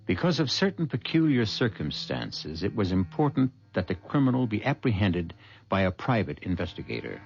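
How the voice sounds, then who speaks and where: A man with an American accent giving scripted, dramatic storytelling narration for a radio play in a measured manner. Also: lowish voice, sing-song, gravelly, husky, faint music behind. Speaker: narrator, host. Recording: dull lo-fi 1970s radio recording.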